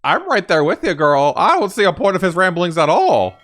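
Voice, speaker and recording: A man's voice: sits high, big pitch swings, a little fast, fairly bright tone. A man hosting a gaming reaction, commenting casually in an informal, questioning way.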